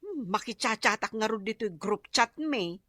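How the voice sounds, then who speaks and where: A sharp, forceful, high voice of a bossy village wife played by a woman with an Ilocano accent. She chats and scolds emphatically in a comedy radio play, recorded close and dry. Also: expressive, moderate pace, dry recording. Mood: nagging.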